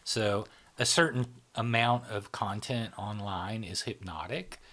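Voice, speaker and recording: A man's voice: on the low side, unhurried, bright, forceful tone. A man hosting a podcast, explaining ideas in a loose, rambling way with many fillers and tag questions. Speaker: podcast host. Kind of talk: casual explanatory chat.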